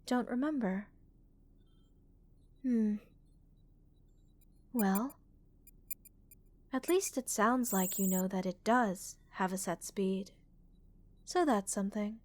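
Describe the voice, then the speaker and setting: A woman voicing a curious, calm female alien scientist in a relaxing ASMR roleplay, speaking in a measured, clinical and gently reassuring way. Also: medium pitch, no echo, lightly acted. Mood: thoughtful, measured.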